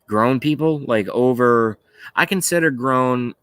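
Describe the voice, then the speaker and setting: A man of thirty-five hosting a livestream, talking casually and haltingly as he rants and reads out chat messages, with some swearing. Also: medium pitch, a bit bright, smooth, no room sound, studio quality. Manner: rambling, halting, often swearing. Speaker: livestream host. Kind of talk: casual political rant while reading live chat.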